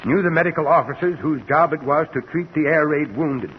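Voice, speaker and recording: An actor playing an earnest, insistent middle-aged doctor and concerned old friend in an old-time radio drama. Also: male voice, a little high, fairly quick, natural voice. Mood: grave, persuasive. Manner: solicitous, probing, emphatic. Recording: dull reverberant vintage radio recording, faint noise.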